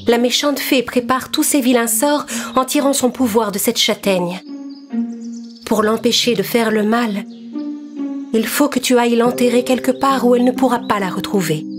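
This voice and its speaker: A woman's voice: on the high side, fairly even intonation, moderate pace, music in the background, pitched above the performer's own voice, close to an everyday voice. A woman voicing a good fairy, solemn and instructive, in a children's cartoon tale. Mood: grave.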